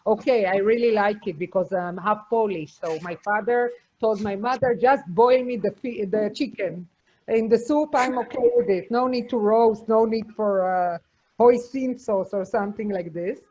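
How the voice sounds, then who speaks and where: A woman's voice: mid-range voice, normal speed, warm tone. A woman whose first language is Hebrew, a food tour guide, telling a casual anecdote in a chatty way. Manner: chatty, tells anecdotes with laughter. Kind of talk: casual storytelling chat. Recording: slightly dull sound.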